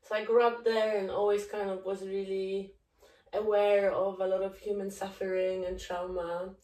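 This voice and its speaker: A woman's voice: mid-range voice, moderate pace. A woman in her thirties from Poland, a native Polish speaker with a Polish accent, telling her life story openly as a documentary maker being interviewed. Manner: open, candid, animated in places. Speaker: guest, documentary filmmaker. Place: living room, indoors. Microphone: far camera. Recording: echoey room, far from mic.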